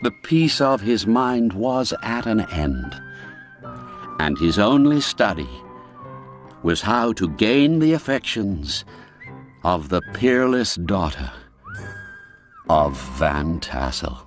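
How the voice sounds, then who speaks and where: A man narrating a classic literary story in a measured, deliberate and expressive storytelling manner. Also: lowish voice, soft background music.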